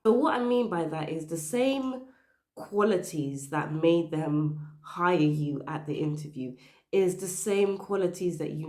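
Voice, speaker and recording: Low croaky-voiced woman giving career tips, sounds like she's getting over the flu, roomy echo. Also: a little mellow. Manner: earnest, emphatic, stressing points with "okay?" and "you know". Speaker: career advice channel host. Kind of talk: direct-to-camera advice talk.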